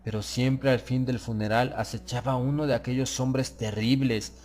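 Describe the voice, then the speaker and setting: A man narrating a gothic horror tale in the first person, with a solemn, measured literary delivery. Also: mid-range voice, steady tone, a little mellow. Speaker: audiobook narrator. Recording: music bed under the voice. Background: prominent music.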